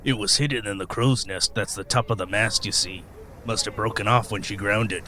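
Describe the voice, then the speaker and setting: An actor playing a weathered, gruff old sailor in a radio play, telling sea tales in folksy, hesitant rustic speech. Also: male voice, fairly low pitch, steady tone, moderate pace, sharp tone, a little hiss or hum. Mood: grave, ominous.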